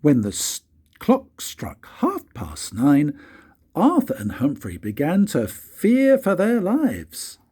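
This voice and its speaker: An older man reads a children's story like a grandfather, in an expressive, deliberate way with dramatic pauses and a husky voice. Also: fairly high pitch. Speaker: grandfather reading stories for his grandchildren.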